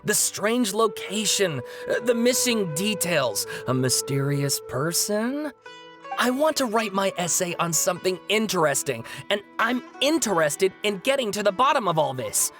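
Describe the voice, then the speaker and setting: Young scholar for a video game: lively pitch swings, earnest, a bit awkward, faint music behind. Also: female voice, measured pace. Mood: exasperated, earnest. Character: earnest young student, slightly awkward, exasperated. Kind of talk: animated video game voice acting.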